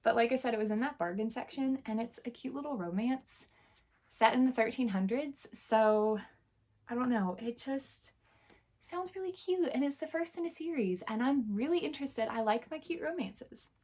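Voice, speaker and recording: A woman with a hoarse, scratchy throat, chatting casually and enthusiastically about the books she bought, with plenty of fillers. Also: on the high side, animated, moderate pace, a little mellow. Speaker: book vlogger. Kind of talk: casual chat showing a book haul.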